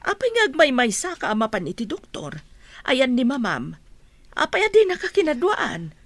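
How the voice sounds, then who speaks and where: Woman acting a lively inquisitive auntie. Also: high-pitched, normal speed, no room sound, heavily acted. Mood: curious, concerned. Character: inquisitive, concerned middle-aged auntie, a family friend. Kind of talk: radio comedy drama acting.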